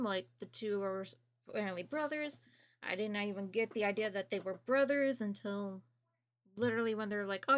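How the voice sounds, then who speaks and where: A woman's voice: medium pitch, fairly bright tone. A woman giving casual, blunt gaming commentary as a streamer, with informal, sweary phrasing. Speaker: game streamer reacting to and reviewing a demo.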